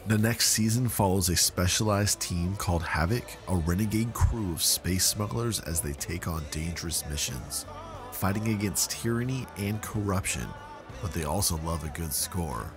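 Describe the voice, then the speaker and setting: A man playing an earnest podcast creator in a scripted audio drama, measured and explanatory. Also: low voice, close to an everyday voice. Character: earnest male podcast creator being grilled by detectives. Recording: music under the voice.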